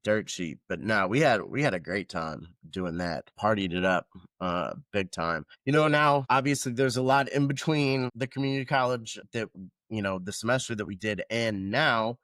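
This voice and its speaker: A man's voice: lowish voice, expressive, moderate pace, a bit bright, dry recording. A man in his thirties from Georgia who hosts a podcast and talks in a loose, rambling way with casual swearing. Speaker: podcast host, veteran. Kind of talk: casual chat.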